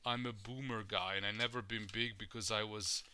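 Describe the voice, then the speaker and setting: A man's voice: on the low side, fairly even intonation, normal speed, pushed and bright, slight background noise. A man voicing a washed-up, middle-aged Soviet superhero in a mock Russian accent, mocking and self-deprecating.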